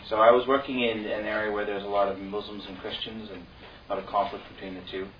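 A man talks easily to an audience, with a few fillers, in a fairly low voice. He sounds distant in an echoey room. The audio is dull, with clear background noise. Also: little pitch movement, quick pace. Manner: easygoing, plain-spoken, with fillers. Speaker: returned volunteer. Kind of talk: informal talk to an audience.

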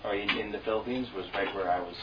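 Low-voiced man in an echoey room. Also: fast talker. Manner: easygoing, plain-spoken, with fillers. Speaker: returned volunteer. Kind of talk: informal talk to an audience. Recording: echoey room, distant dull mic, noise.

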